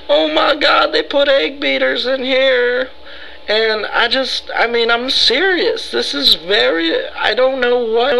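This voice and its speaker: High, creaky, frightened rambling man, put on by a prankster. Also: sharp tone, heavily acted, low-quality recording. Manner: rambling, halting, melodramatic, pleading. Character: frightened, rambling, easygoing man reporting a ghost. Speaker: prank caller. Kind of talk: improvised phone prank.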